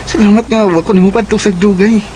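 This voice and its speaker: Actor as lightly high-pitched lovestruck Cebuano suitor. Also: male voice, fairly even intonation, fast talker, a little mellow, a little hiss or hum, natural voice. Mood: tender. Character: earnest young suitor, gentle, lovestruck, pleading. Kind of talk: Cebuano radio drama acting.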